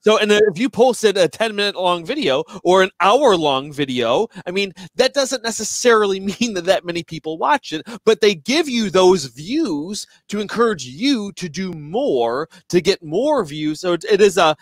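30s guy hosting a podcast over video call, kinda high voice, dead dry sound, explains stuff with lots of uhs. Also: animated, no echo. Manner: explanatory, quick to agree, many fillers. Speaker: podcast host. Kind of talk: casual podcast chat.

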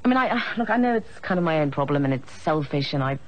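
A middle-aged woman acting a troubled married teacher in a television drama, speaking with an English accent, stammering, breathy and hesitant. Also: sits low, expressive, fast, highs cut off. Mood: hushed. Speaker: teacher in a soap opera.

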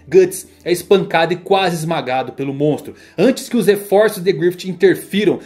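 A man's voice: on the high side, darkish tone. A man with a Brazilian accent reading a scripted, dramatic story narration. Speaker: video narrator. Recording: music behind the voice.

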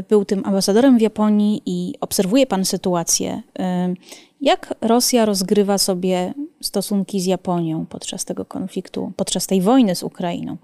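Female podcast host, probing questions, gravelly tone, dry studio sound. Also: mid-range voice, warm tone, dry recording. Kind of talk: interviewing. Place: small studio. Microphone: studio microphone on arm.

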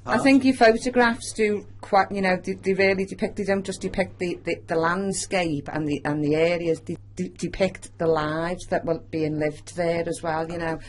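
A woman's voice: on the low side, steady tone, normal speed, few pauses. A middle-aged woman asking questions and commenting conversationally in a radio interview. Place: small community radio studio. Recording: clear background noise, room ambience.

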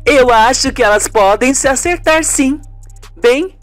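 A man's voice: very high voice, measured pace, no echo, faint music behind, pitched above the performer's own voice. A man voicing a gossipy, suspicious wife in a radio serial, with an emphatic, colloquial way of talking. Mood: indignant.

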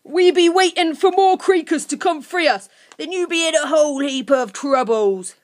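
Woman voicing a high, snappish, bossy little monster. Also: steady tone, normal speed, close to an everyday voice. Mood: defiant, threatening. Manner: barking insults in broken rustic grammar. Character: gruff, bossy, snappish male creaker monster.

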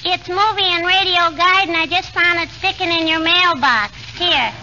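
A woman's voice: extremely high pitch, normal speed, pushed and bright, heavily acted. A woman playing a sly, pestering little girl with a childlike, wheedling delivery in 1940s radio comedy. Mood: sly, teasing. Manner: wheedling, catchphrase-prone. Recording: noisy, muffled 1940 radio broadcast.

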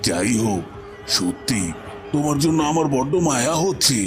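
A man playing a scheming evil tantric sorcerer in a cartoon, with a menacing, drawn-out and mock-pitying delivery. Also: medium pitch, lively intonation, lightly acted. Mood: mock pity, menace. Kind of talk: dramatic cartoon voice acting. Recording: music bed under the voice.

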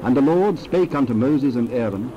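A man narrating a dramatized scripture reading in a measured, reverent way. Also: medium pitch, lively intonation, dull audio, slight background noise.

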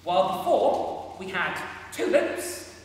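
A young man giving an energetic, self-deprecating maths demonstration on stage in a large hall, chatting with the audience while using a handheld mic that he sometimes puts down. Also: sits high, slow pace, far from the mic, slight background noise. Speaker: maths performer at a variety show. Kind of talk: live stage talk with hands-on demonstration. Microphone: handheld mic, sometimes set down. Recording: echoey hall, voice picked up from afar.

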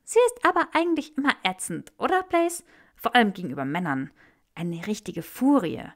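A gossipy young male rebel who keeps blurting things out, with a voice pitched far above the reader's own, as a woman puts him on. Lively and eager, with a gravelly edge, he jumps between high excited bursts in this storybook reading. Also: moderate pace. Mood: eager gossiping.

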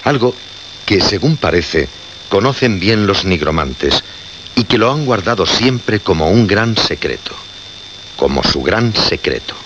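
An actor playing a solemn, ominous radio host of the occult in a horror radio play, with deliberate dramatic pauses and repetitions. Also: male voice, sits low, big pitch swings. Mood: grave, enticing. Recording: muffled, noisy vintage radio recording.

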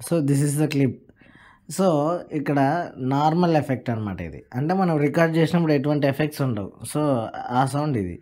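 A man's voice: a little high, expressive, brisk, warm tone. Man explaining a phone app. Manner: explains plainly, mixing English words into Telugu. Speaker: tech channel host. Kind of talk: screen-recorded app tutorial.

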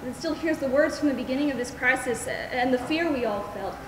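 Young actress as high-voiced kindly mother, echoey hall. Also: fast talker, crowd noise, natural voice. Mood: earnest, anxious. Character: kind, earnest young mother volunteering to care for patients. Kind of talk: amateur stage play acting. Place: large theatre stage, indoors. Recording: echoey theatre hall, music over voice.

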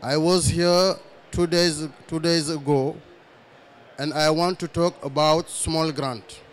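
Slow Senegalese man in broken English. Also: fairly high pitch, steady tone, slight background noise. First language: French. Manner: slow, searching for words in simple English. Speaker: audience member asking a question. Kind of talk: halting spontaneous remarks. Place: large conference pavilion indoors.

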